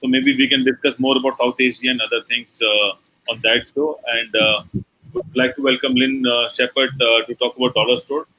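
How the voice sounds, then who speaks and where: A man hosting a talk show puts questions to his guest and often pauses on fillers. His voice has a bright, forceful edge. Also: mid-range voice, level tone, low-quality recording. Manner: hesitant, many fillers.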